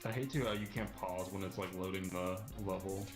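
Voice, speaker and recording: A man's voice: deep voice, steady tone, brisk. A man chatting casually as a co-commentator on a game tutorial. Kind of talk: casual banter. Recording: roomy echo, music behind. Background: loud game music.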